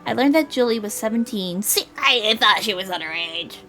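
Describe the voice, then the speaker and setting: A woman voicing a reflective older man who narrates a visual novel in a measured, wistful way. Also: medium pitch, lively intonation, pushed and bright, soft background music. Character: reflective older male lawyer, a wedded war veteran.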